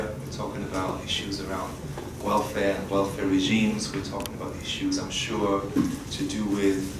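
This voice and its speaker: A man chairing a conference session, introducing a keynote speaker in a polite, halting way. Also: fairly low pitch. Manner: polite, halting with many pauses. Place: conference room. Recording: echoey room, distant mic, loud background noise.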